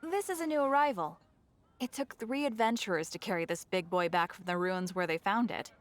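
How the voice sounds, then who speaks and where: A woman's voice: mid-range voice, fairly quick, a little hiss or hum, close to an everyday voice. A woman voicing a friendly, chatty souvenir shopkeeper in a video game, with a chirpy sales patter. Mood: helpful, musing. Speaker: shopkeeper character.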